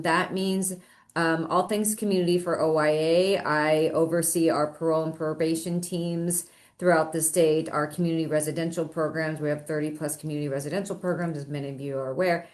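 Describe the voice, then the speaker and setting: Low-voiced woman introducing herself to a council. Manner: friendly, chatty, with fillers. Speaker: assistant director of community services. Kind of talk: self-introduction at a public meeting. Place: meeting room, same room as a colleague. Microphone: laptop microphone.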